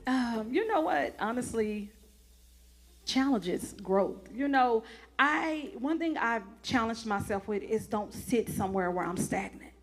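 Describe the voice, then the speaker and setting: Brief: lively woman co-host, a bit high, faint music under her. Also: measured pace. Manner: lively, teasing, emphatic. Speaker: church co-host. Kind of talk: casual church chat.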